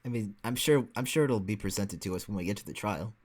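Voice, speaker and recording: Very low-voiced woman, casually reacting. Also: fast, a little mellow. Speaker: reaction show co-host.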